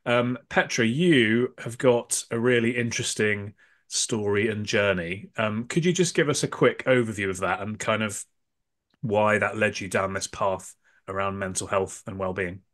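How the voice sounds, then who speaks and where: A man's voice: fairly low pitch, moderate pace, a bit bright. A man in his forties hosting a video call panel, upbeat and full of "uh" and "um" fillers. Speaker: podcast host.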